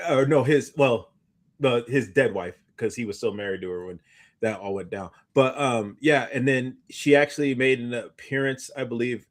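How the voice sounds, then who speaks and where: A man in casual podcast conversation with a mid-pitched voice. He talks in an animated, rambling way, often restarting and repeating words as he tells a story. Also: moderate pace. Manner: animated, rambling, stumbling over repeated starts. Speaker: podcast co-host. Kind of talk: casual chat, recounting anecdotes.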